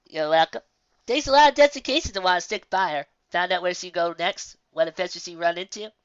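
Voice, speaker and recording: A woman's voice: lowish voice, a little fast, clean tone, dry recording, muffled recording, close to an everyday voice. A woman voicing a chatty old country woman from a storybook, folksy and rambling. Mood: warm, rambling. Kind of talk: storybook character voice.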